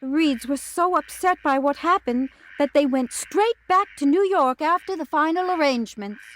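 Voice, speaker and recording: An actress playing a chatty, fussy country housekeeper and cook in an audio drama. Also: female voice, high voice, animated, a bit bright. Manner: chatty, then indignant and hurried. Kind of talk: radio drama acting.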